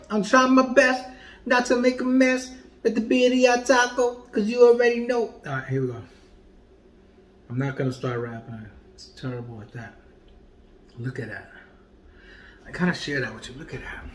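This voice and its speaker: Animated man reviewing food in an echoey room. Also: extremely high pitch, moderate pace, long pauses, a little hiss or hum. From Puerto Rican heritage. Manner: animated, playful, drops to quiet murmurs while tasting. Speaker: food vlogger and comedian host. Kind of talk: casual food review vlog. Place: home dining room indoors.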